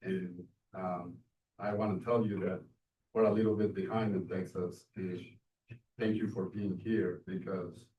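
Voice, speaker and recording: A man in his sixties from Mexico with a Mexican Spanish accent, giving a conference talk and speaking haltingly with many fillers and restarts. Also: sits low, dull audio. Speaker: transit industry speaker. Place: event room. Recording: roomy, distant mic with faint noise.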